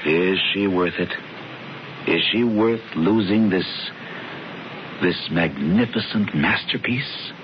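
An actor playing a smooth-talking, mysterious, persuasive friend in 1970s radio drama style, insistent and dramatic in his emphasis. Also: deep voice, takes it slowly. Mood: commanding. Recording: muffled, hissy 1970s radio broadcast recording.

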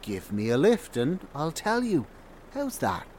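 A knowing wanderer in an audio drama, talking at a slow, drawn-out pace. His pitch moves widely with sardonic, shrewd remarks. A car engine hums faintly behind him. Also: huge pitch swings, darkish tone, slight background noise.

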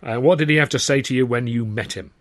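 A man's voice: mid-range voice, quick pace, no room sound, clean studio sound, close to an everyday voice. A man voicing a harassed, irritable middle-aged office manager in an audiobook, brusque and sarcastic. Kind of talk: audiobook character voice.

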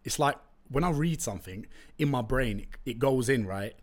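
A young man with a London accent, whose first language is Turkish, tells stories on his own podcast. He talks in a loose, chatty way, full of slang and jokey asides, and his voice sits at an ordinary middle pitch. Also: expressive, normal speed. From East London, England. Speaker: podcast host. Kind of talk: casual solo podcast storytelling.

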